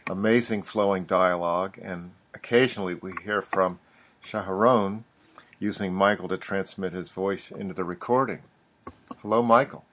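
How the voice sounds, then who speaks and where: A man's voice: fairly low pitch, fairly bright tone. A man hosting a casual talk show, introducing the episode and prompting his guest with brief, friendly questions. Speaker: podcast host. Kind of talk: casual conversation.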